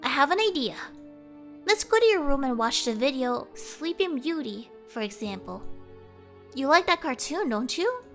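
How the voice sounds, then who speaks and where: A woman voicing a young big brother who gently soothes his little sister in a horror visual novel. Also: high-pitched, big pitch swings, moderate pace, soft background music. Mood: gentle, coaxing.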